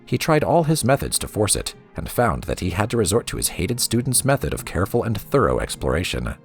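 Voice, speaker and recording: A man reading a story aloud as a storybook narrator, with a theatrical, expressive delivery. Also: lowish voice, brisk, gravelly, faint music behind. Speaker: lore reader for a gaming let's play.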